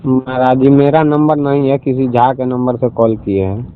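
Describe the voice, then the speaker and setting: A man, a caller arguing casually and haltingly on a phone call, trying to get a word in. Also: medium pitch, steady tone, clear, resonant tone. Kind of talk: argumentative casual phone conversation. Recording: dull recorded phone call.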